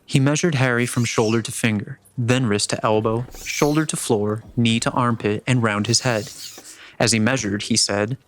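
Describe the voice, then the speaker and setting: A man's voice: medium pitch, steady tone, moderate pace, poor audio. A man reading scripted story narration as the narrator of a dramatic reading.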